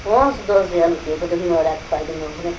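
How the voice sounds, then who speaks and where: An older woman with a Brazilian accent, telling family stories in a lively way that is full of exclamations and repetition. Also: fairly low pitch, very fast, a little hiss or hum, rough recording. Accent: Brazilian Portuguese. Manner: lively storytelling, exclaims and repeats herself. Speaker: family elder recalling relatives. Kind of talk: casual family conversation.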